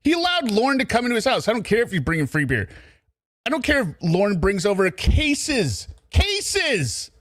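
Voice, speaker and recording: Animated male commentator, dry close sound. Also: high-pitched, no room sound. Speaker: livestream commentator. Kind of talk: casual off-the-cuff commentary.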